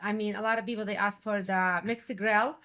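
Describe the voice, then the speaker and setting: A middle-aged woman from Jordan, a restaurant owner and chef, speaking English as an in an animated, conversational interview. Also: medium pitch, a little fast. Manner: animated, quotes others with raised voice.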